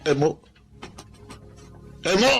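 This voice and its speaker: A sickly but proud Filipino father in a radio drama. His voice sits a little high, earnest and full of feeling, over faint music. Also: big pitch swings, extremely slow, gravelly, exaggerated voice. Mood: anguished remorse. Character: sickly, proud, defiant father who turns remorseful.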